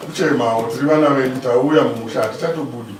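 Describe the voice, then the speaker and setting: A man's voice: mid-range voice, fast talker. A man with a Zimbabwean accent bargaining in a pleading, deferential way in a dramatized ceremonial negotiation. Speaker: negotiator for the groom's side in a dramatized bride price ceremony. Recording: distant, echoey, very noisy room.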